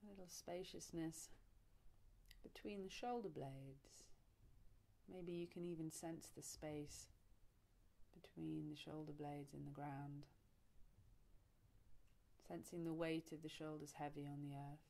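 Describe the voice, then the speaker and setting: A woman leading a guided relaxation meditation, soothing and unhurried, speaking in short phrases with long pauses. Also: sits low, steady tone, creaky, dark tone, poor audio. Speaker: yoga nidra teacher, meditation guide.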